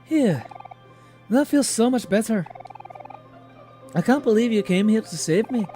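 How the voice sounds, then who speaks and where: A man voicing a gentle, earnest young friend from a horror game, reading the dialogue in a reassuring way. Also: sits high, expressive, a little slow, faint music behind, voice pushed up. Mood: relieved. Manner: soft-hearted, reassuring, reading dialogue aloud.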